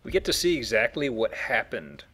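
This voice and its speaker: A man chats casually over a video game as a commentator. His voice is husky and mid-pitched. His delivery is playful and animated, full of swings in inflection. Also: normal speed. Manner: jokey, playful exclamations. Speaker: let's play commentator.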